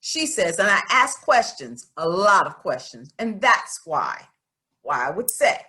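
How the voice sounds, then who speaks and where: A woman reads as an outspoken young schoolgirl in a storybook. The voice is bright and forward, with lively swings in pitch. She states her mind in a folksy, emphatic way, earnest and a bit stubborn. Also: a little slow, full character voice. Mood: candid, chatty. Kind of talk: expressive storybook read-aloud in first person.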